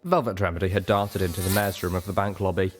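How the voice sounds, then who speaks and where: A man with a fairly low voice reads fiction aloud in a first-person narrative style. He works as the storyteller, carrying scenes and description. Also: fairly even intonation, fast talker, noisy background. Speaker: audiobook reader.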